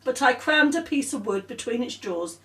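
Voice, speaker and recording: A woman's voice: on the high side, expressive, moderate pace, fairly bright tone, pitched above the performer's own voice. A woman voicing a boastful, plaintive little girl who tells dramatic tall tales in a storybook. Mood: dramatic, excited. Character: little girl telling dramatic tall tales about her bad knee. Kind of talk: storybook character voice. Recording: roomy echo, distant microphone.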